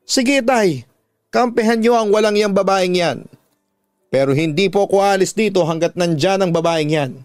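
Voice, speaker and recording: A man acts out an angry Filipino daughter in a radio drama. She is defiant and confrontational, throwing threats in a raised pitch, close and dry on the mic. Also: moderate pace, no room sound, lightly acted. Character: angry, defiant grown daughter, fiercely protective of siblings.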